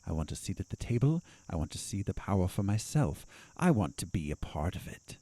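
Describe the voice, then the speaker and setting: An actor playing an ambitious, scheming doctor in an audio drama, measured, pointed and self-assured. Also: male voice, deep voice, very animated, warm tone, no echo, full character voice. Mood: assertive bargaining.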